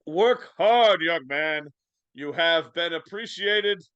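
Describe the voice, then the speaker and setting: A man acts out a hearty, declamatory master in a live dramatic reading. The voice sits high, with a bright, forceful edge and a dry sound. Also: animated, slow pace, smooth, dry recording, lo-fi sound. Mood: pompous. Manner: declamatory, grandly addressing listeners. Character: hearty male headmaster and festive employer, declaiming. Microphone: video call.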